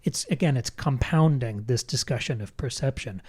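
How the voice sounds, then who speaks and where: A man with a soft-edged, mellow voice talks through a book as a podcast co-host. He is reflective and articulate, in a relaxed conversational register. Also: medium pitch, moderate pace, slightly rough.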